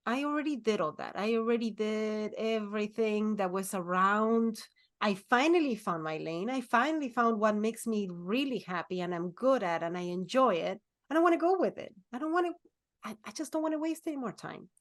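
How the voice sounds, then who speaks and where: A woman's voice: mid-range voice, lively intonation, moderate pace. A woman in her forties co-hosting a podcast, talking earnestly and repeating herself to stress a point. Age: 40s. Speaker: podcast co-host. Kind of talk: casual chat.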